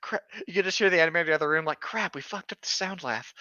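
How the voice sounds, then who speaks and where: Bright lively guy on a comedy podcast, mocking and sweary, bit of a muffled lofi mic. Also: on the high side, racing, no room sound. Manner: profane, mocking, exclamatory. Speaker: podcast co-host. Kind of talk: casual comedic commentary on read-aloud horror stories.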